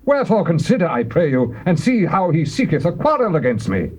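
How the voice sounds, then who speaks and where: A man's voice: on the high side, big pitch swings, a little fast, darkish tone, slight background noise. An English actor playing an alarmed, suspicious king, speaking in an agitated, indignant way on a lo-fi tape. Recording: dull lo-fi tape.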